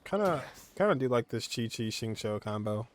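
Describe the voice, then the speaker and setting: A man's voice: mid-range voice, sing-song, normal speed, noisy background. A man giving casual gameplay commentary, muttering offhandedly to himself as he plays. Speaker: gamer, streamer. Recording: game music behind voice.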